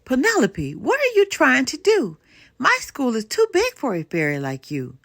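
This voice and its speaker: A woman voicing an excitable five-year-old schoolgirl in a storybook, speaking with emphasis. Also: high-pitched, moderate pace, no echo, clean studio sound, exaggerated voice. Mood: scolding, doubtful.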